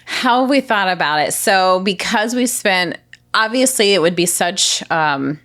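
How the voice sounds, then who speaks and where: A woman's voice: mid-range voice, expressive, a little slow. A woman answering interview questions as a healthcare director, earnest and impassioned, stacking repeated phrases. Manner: speaks forcefully, says she has a loud voice. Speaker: healthcare revenue cycle director, guest.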